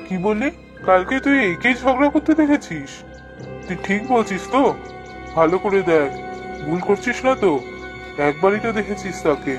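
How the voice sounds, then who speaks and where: A man's voice: high-pitched, lively intonation, moderate pace, long pauses, music in the background. A man playing a stern, protective uncle in a dramatic cartoon, speaking in a commanding, urgent manner. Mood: doubting, urgent. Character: stern, protective adult uncle investigating spooky happenings. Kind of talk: dramatic scripted cartoon dialogue.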